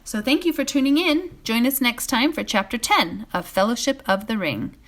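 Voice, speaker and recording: A woman reading a bedtime fantasy novel aloud as a volunteer, in an expressive storytelling style. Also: fairly high pitch, normal speed.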